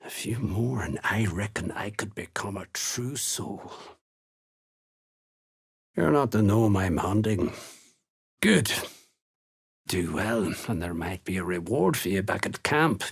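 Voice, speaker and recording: An actor playing a surly, menacing dwarf slave hunter in a video game, sneering and blunt with a gravelly, husky voice. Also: male voice, lowish voice, animated, takes it slowly, long pauses, exaggerated voice. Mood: surly, sardonic. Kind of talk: video game character voice lines.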